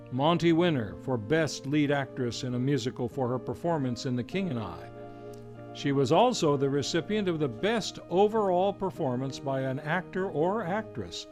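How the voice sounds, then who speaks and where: A man announcing an old-time style radio drama in scripted, formal introductions. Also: fairly high pitch.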